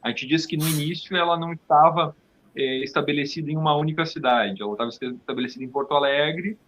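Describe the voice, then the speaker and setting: A man's voice: fairly high pitch, fast, a bit bright, highs cut off, slight background noise. A man with a southern Brazilian accent from Rio Grande do Sul, talking casually as an interview guest and often repeating words as his thoughts form. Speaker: theatre company founder, interview guest.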